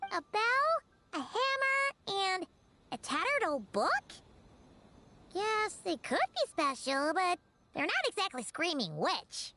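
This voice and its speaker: A tiny childlike creature sidekick from a video game, with an extremely high, bright, squeaky voice. The delivery is slow-paced and swoops about, blunt, cheeky and full of exclamation. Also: female voice, big pitch swings, slight background noise, heavily acted. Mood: skeptical. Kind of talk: English video game voice acting. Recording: game music behind the voice. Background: video game music.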